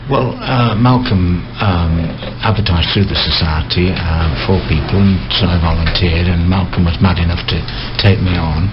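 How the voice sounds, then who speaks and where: Low-voiced older man, halting, noisy recording. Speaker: interview guest, amateur actor. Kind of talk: casual radio interview. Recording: dull, clearly noisy recording.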